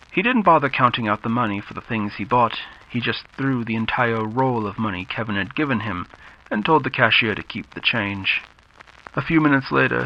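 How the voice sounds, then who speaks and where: A man narrating a radio-style thriller from a script, dramatic and deliberate, with pauses for effect. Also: lowish voice. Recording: slightly dull, faint background noise.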